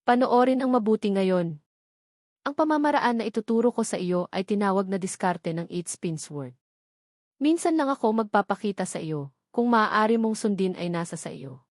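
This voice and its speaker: A woman's voice: mid-range voice, lively intonation, normal speed, a little mellow, no echo, studio quality, natural voice. Woman reading as a stern old Filipino sword master. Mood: stern, impatient.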